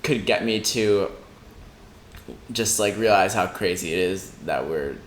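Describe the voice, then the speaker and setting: A man in his mid-twenties with a low-pitched voice, talking in a casual, reflective way. He feels his way through each thought haltingly. The recording has a clear room echo and slight noise. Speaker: podcast speaker. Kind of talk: casual reflective podcast conversation. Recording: roomy echo with faint background noise.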